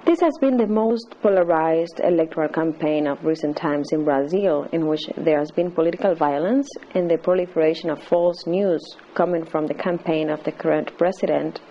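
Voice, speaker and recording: A woman's voice: lowish voice, warm tone. A woman reading a scripted news report as a radio reporter. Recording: dull lo-fi shortwave radio broadcast.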